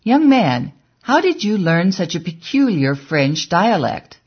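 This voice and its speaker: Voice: mid-range voice, very animated, a little slow, slightly rough. A reader voicing a forthright, heavy-set middle-aged woman in an audiobook, on a dull, lo-fi recording. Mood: insistent.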